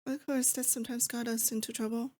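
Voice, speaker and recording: A mellow, dark-toned demon queen, acted by a woman, fairly high in pitch and very even in melody. She speaks intimately and unhurriedly in an ASMR roleplay, as a doting, wistful captor to her sweetheart. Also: fast, close to an everyday voice. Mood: teasing, fond. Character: possessive, nostalgic young demon lord woman, affectionate captor. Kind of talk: roleplay ASMR, intimate scripted monologue to the listener.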